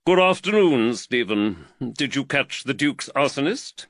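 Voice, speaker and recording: A man reading an audiobook voices an earnest, fretful London magistrate, speaking higher, louder and more emphatically than in his narration. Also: big pitch swings, unhurried, no echo, studio quality. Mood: genial. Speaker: magistrate and employer.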